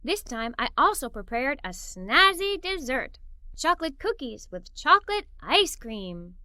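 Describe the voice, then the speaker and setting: A woman reading a children's story aloud in the first person, with animated, expressive delivery. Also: sits high, measured pace, no echo, clean studio sound. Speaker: storybook narrator.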